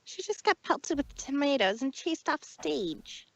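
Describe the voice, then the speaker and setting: A woman's voice: sits high, lively intonation, a little slow, creaky, no echo, dull audio, full character voice. A woman voicing a brash, eager tomboy mare with a blunt, pushy manner in a fan fiction audio drama.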